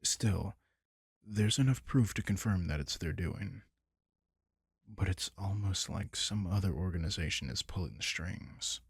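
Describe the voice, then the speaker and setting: A man playing a caring, wry vampire king in an intimate comfort roleplay audio drama, talking casually and softly with dry teasing. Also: low-pitched, animated, measured pace, creaky, husky, dry recording, heavily acted. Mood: matter-of-fact, informative.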